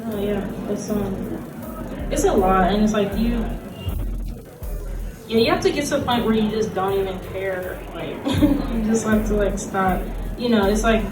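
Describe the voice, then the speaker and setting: A woman's voice: medium pitch, unhurried, roomy sound. Woman hosting a chatty panel, kinda far from the mic, music going behind her. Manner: informal, chatty. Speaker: panelist, host. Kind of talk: casual convention panel talk. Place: convention panel room.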